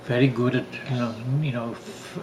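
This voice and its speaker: An older Welsh man tells family tales in a casual, unhurried storytelling way. He halts, repeats himself and searches for the right word, while other voices chatter clearly in the background. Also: medium pitch, little pitch movement, rough recording. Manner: halting, searching for words, repeats phrases. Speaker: father telling family stories.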